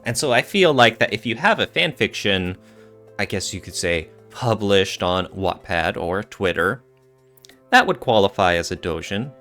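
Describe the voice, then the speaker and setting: A man's voice: lowish voice, expressive, normal speed, sharp tone, soft background music. A man co-hosting a podcast, explaining things in a casual, thinking-aloud way. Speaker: podcast co-host.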